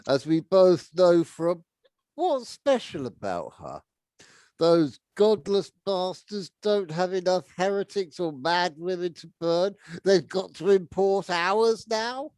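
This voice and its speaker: Man voicing an indignant, blunt mercenary, raised pitch. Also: big pitch swings, takes it slowly, darkish tone, no room sound, exaggerated voice. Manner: blunt, cursing, emphatic. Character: indignant, foul-mouthed, weary mercenary man with a conscience.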